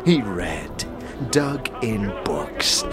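A man playing a crazed, ragged old beggar who tells cryptic tales theatrically in an audio drama, halting and repeating phrases with erratic swings. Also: on the low side, sing-song, heavily acted. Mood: conspiratorial. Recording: music under the voice.

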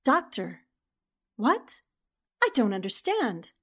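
Woman doing a gutsy young newspaper gal in an old time radio mystery, slightly high voice, earnest n dramatic. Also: sing-song, slow, a bit bright, husky, exaggerated voice. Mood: earnest, determined. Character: determined young female newspaper reporter, brave and sharp. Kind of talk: 1940s radio mystery acting.

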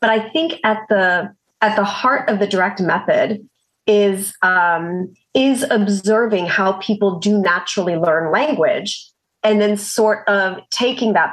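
A woman as guest in a casual conversation, explaining her views at a steady pace with many pauses. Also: medium pitch, low-quality recording. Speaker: guest educator.

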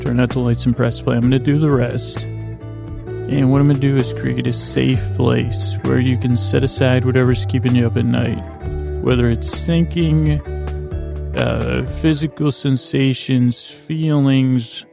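A man hosting a bedtime podcast in a creaky, gravelly voice, rambling and meandering at a languid, halting pace to lull listeners to sleep. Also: mid-range voice. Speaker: podcast host.

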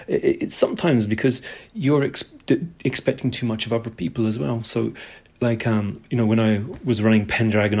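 Husky, gravelly man leaving a voice message. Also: fairly low pitch, a little mellow. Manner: hesitant, halting, circling back. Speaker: podcast call-in contributor. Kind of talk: unscripted voice message.

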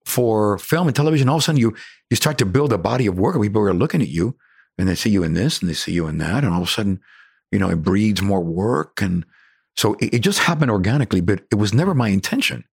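A man in his sixties from Cuba with a Cuban accent, an actor telling stories in a casual chat, animated and laughing often. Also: lowish voice, fast, gravelly, slightly rough, no room sound, studio quality. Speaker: actor guest.

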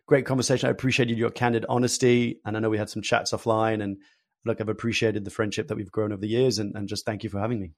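A man's voice: fairly low pitch, little pitch movement, fast talker, a little mellow. Man from France telling his story. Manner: candid, self-deprecating storyteller. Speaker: podcast guest. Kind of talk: casual interview chat.

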